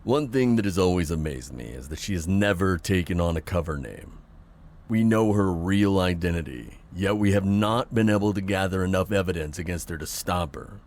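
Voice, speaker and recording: A man acting as an authoritative police chief with a low-pitched voice. He briefs his team in a measured, formal way, matter-of-fact and in command, as in an audio drama. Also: lively intonation, darkish tone. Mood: businesslike, firm. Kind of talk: audio roleplay briefing monologue.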